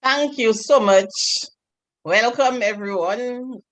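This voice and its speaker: Slow-speaking Dominican woman. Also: fairly high pitch, no room sound, poor audio. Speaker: meeting's toastmaster of the day. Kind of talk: club meeting presentation over a call. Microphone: video call.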